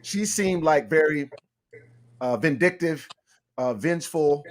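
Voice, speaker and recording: Male podcast host, slightly high voice, casual and talkative. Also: lively intonation, slow. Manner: conversational, long wandering questions with slang. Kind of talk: casual interview questions.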